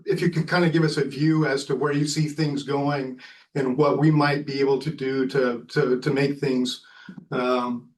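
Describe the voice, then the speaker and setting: A man's voice: mid-range voice, level tone, moderate pace, a little mellow. A man on a city commission asking questions at a public meeting, hesitant, with many fillers. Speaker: commission member. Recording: distant from the mic in a meeting room.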